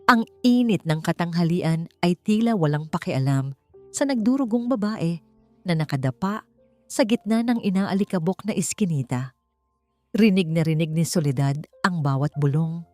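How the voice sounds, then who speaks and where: A Filipino woman narrates a scripted drama in a fairly low voice. Her delivery is deliberate, theatrical and full of feeling. Faint music plays behind her. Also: a little mellow. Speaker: storyteller. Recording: roomy sound with faint music.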